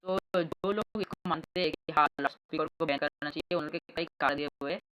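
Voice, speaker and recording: A man with a fairly high-pitched voice and an Indian accent presents a news update. He explains things informally, moving between Hindi and English with a halting, unpolished delivery. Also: bright, forceful tone. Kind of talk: explanatory news update, reading and paraphrasing reports.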